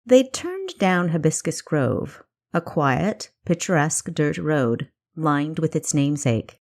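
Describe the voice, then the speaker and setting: A woman narrates a work of fiction in a low voice. She reads scripted prose as an audiobook storyteller. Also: very animated, takes it slowly, darkish tone. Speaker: author narrating own audiobook.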